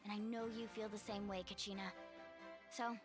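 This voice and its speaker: A woman's voice: mid-range voice, level tone, normal speed, music in the background, lightly acted. A woman voicing a confident, kind and resolute young warrior girl in an anime-style video game. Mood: encouraging.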